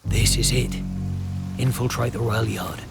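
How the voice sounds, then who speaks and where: An actor playing a gritty, determined wartime sniper who mutters tersely to himself in a video game. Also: male voice, mid-range voice, measured pace, gravelly, over loud music. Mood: grim resolve. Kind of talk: cinematic video game acting, muttered monologue.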